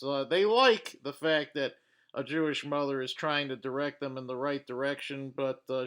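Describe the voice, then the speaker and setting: A man hosting a reaction video, pausing clips to give blunt, judgmental commentary with frequent fillers. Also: a little high, a bit bright, smooth. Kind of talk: commenting over paused clips.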